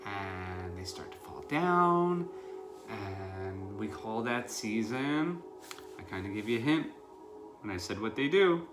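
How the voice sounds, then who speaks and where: A man's voice: fairly low pitch, big pitch swings, takes it slowly, music in the background. A man in his thirties, a preschool teacher reading a picture book aloud and talking simply and directly to young children. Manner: addresses small children directly with questions and simple explanations. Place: living room at home, indoors.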